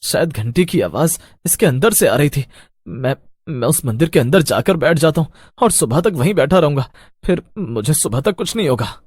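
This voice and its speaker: A man acts as a bewildered traveller in a cartoon horror tale. He is scared and hesitant, tripping on words, with a very lively pitch in a dry recording. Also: normal speed, husky, no room sound. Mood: uneasy. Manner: anxious, hesitant, stammering. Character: frightened, bewildered male traveller lost at night. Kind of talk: Hindi animated horror story acting.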